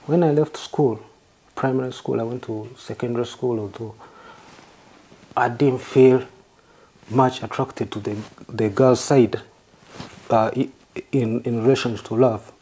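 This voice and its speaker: A man from southern Uganda with a Ugandan accent, answering interview questions in halting, formally worded phrases. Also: medium pitch, fairly even intonation, mellow, husky. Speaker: interview guest.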